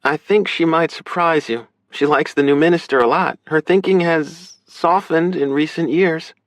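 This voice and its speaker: Man as grown-up big sister, high voice, dry close sound, reassuring, frank, emotional, audiobook dialogue. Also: normal speed, no room sound, close to an everyday voice. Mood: firm, coaxing. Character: Ruth, caring, frank big sister, adult woman.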